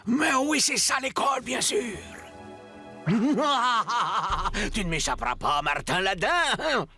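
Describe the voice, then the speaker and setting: A scheming cartoon sorcerer, menacing and melodramatic. He draws his words out slowly like incantations, with huge swings in pitch. Music is clearly heard behind him. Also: sing-song, bright, forceful tone, full character voice. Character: scheming villainous sorcerer hunting his stolen magic lamp. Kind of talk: French cartoon villain acting.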